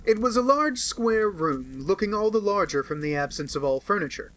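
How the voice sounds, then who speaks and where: A man reading a classic detective novel aloud as a narrator, with measured storytelling. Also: high voice, expressive, a bit bright. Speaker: audiobook reader.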